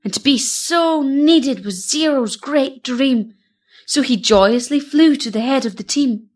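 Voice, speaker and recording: A woman narrating a storybook poem in a theatrical, rhythmic and measured manner. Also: sits high, darkish tone.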